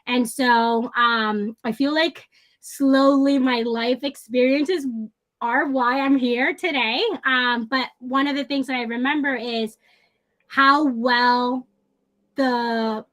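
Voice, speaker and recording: A woman's voice: high-pitched, fairly bright tone. A woman in her thirties, raised in the Dominican Republic and New York, a native Spanish speaker, talking casually and enthusiastically as a guest social worker, with many fillers and restarts. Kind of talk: casual interview talk.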